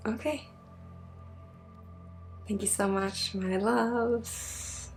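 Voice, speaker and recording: A woman leading an ASMR energy healing session, soft-spoken and often slipping into a breathy whisper. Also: fairly high pitch. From Sweden. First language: Swedish. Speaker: energy healer and spiritual guide.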